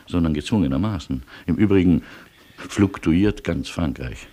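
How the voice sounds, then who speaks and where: A weary male refugee in a radio play. His voice is extremely low, and he speaks with courteous, wry resignation and deliberate irony. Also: lively intonation, mellow.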